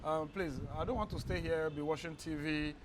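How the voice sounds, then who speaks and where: A man's voice: on the high side, normal speed, background noise. A man from Benin with a Beninese French accent, telling his life story as a guest. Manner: animated, acts out bus-stop calls. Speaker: guest, company founder. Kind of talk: storytelling interview.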